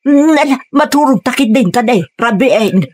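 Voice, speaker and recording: An actress playing a sharp-tongued, gossipy village wife in an Ilocano radio drama, with an expressive, nagging delivery and an Ilocano accent. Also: female voice, on the high side, a little slow. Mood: scolding.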